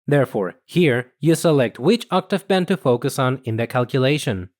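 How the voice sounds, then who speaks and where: A man reading scripted instructional narration in a measured, explanatory manner. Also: mid-range voice, expressive, a little mellow. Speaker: software tutorial narrator.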